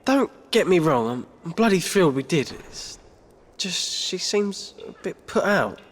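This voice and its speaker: A man's voice: sits high, very animated, unhurried, full character voice. A young English actor playing a hapless, grumbling teenage boy wizard in a video game. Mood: baffled.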